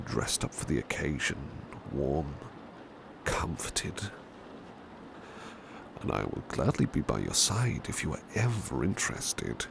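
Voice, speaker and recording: A devoted, protective British butler, acted by a man for an ASMR roleplay, with an extremely low voice full of heavy creak. He speaks very slowly and softly, in formal, courteous phrases that often sink toward a whisper. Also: full character voice. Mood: gentle, inviting. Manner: formal, courteous, hushed and hesitant, with long pauses. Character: devoted, gentle, protective male butler of an estate. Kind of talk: ASMR roleplay, soft intimate speech slipping into whispers. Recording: clear steady rain-like background noise.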